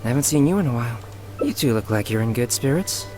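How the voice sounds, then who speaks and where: A man voicing a polite, scholarly young knight in a video game, formal and measured in his courtesy. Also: medium pitch, expressive, a little mellow, faint music behind, lightly acted. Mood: courteous.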